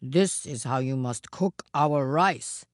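A woman voicing a large, wicked male ogre in a storybook, gruffly polite, with emphatic, drawn-out words. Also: extremely low pitch, no room sound, studio quality, pitched below the performer's own voice. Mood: bossy, instructing.

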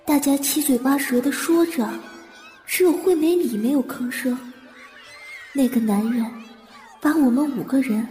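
A woman playing an earnest, anxious young woman in an audio drama, with soft, reflective delivery. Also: high-pitched, moderate pace, long pauses, in a large hall, faint music behind, natural voice. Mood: sombre, reflective. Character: determined, anxious young woman recounting her childhood trauma.

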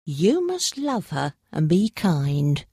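A woman's voice: low voice, very animated, slow, dark tone, breathy edge, no room sound, clean studio sound, exaggerated voice. A woman playing a firm, caring aunt. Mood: advising.

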